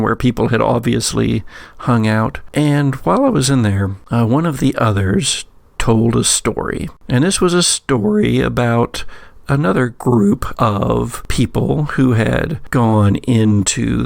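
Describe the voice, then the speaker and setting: A man telling spooky stories as a host and game master, measured, with dramatic pauses and wry asides. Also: medium pitch, creaky, no echo, clean studio sound. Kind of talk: narrated spooky anecdote and game narration.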